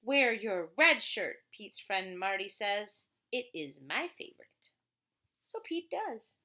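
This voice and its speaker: Bright, very animated woman reading a storybook. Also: medium pitch, takes it slowly. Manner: playful, chatty, prompting the child with questions. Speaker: teacher reading a picture book aloud to a child. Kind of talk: animated children's storybook read-aloud with casual asides.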